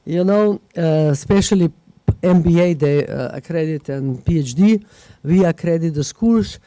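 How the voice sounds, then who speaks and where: A woman speaking English as a non-native speaker on a conference panel, halting and searching for words, with many fillers. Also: low-pitched, mellow, low-quality recording. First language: neither English nor Russian. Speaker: panelist, head of a management school association. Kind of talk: panel discussion remarks.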